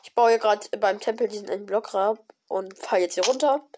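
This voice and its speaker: A woman with a cold, giving casual, excited commentary on her own gameplay with many fillers and exclamations. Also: mid-range voice, a little fast, a little hiss or hum. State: has a cold. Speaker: gaming video creator. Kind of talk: casual let's-play commentary.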